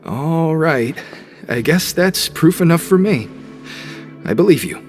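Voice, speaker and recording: An actor playing a blunt, loyal young prison gang member in a video game, with a street-tough manner. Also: male voice, on the high side, expressive, unhurried. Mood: wary. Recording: long reverb, music behind.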